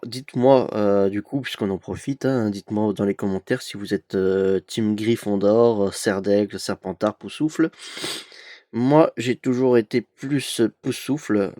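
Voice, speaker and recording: Young male vlogger, stuffy from a cold, unhurried and hesitant, dry close sound. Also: fairly low pitch, warm tone, dry recording. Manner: hesitant, many "euh" fillers, chatty. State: has a cold. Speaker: YouTube collector opening an advent calendar. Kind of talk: casual unboxing vlog.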